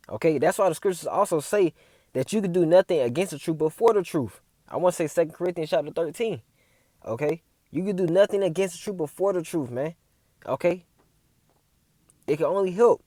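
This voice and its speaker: A man with a slightly raised but dark, mellow voice talks through scripture like a street preacher. He keeps checking in with "all right?" and "man" between points. The sound is dry and close. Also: on the high side, expressive, a little fast, no room sound. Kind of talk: casual religious commentary video.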